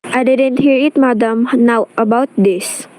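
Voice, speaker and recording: A woman's voice: fairly high pitch, unhurried, darkish tone, dry recording, close to an everyday voice, poor audio. A woman playing a polite, unruffled hospital receptionist in a radio play, formal and matter-of-fact.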